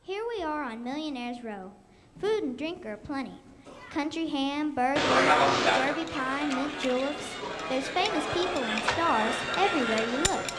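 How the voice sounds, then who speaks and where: A young female performer playing a TV reporter in a scripted school radio show, announcing in a presentational way. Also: high-pitched. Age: child or young, elementary school performer. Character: bubbly female TV reporter touring the Kentucky Derby. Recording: noisy amateur live stage recording.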